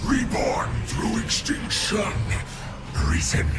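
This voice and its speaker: Very slow, menacing alien warlord. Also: male voice, high-pitched, wildly swooping pitch, reverberant, heavily acted. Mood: grandiose, menacing. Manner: slow, grand, declamatory. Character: male undead alien warlord, grandiose, menacing. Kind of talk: video game villain monologue. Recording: loud game music behind the voice.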